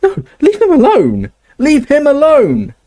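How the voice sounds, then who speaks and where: A man doing a shrill, earnest teenage girl. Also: huge pitch swings, measured pace, warm tone, full character voice. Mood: desperate. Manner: high-pitched and emphatic.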